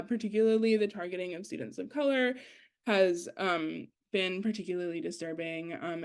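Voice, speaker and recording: A young woman speaking as a student activist at an online gathering, articulate and careful with her wording in a measured, informal presentation. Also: fairly low pitch, darkish tone. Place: online video call.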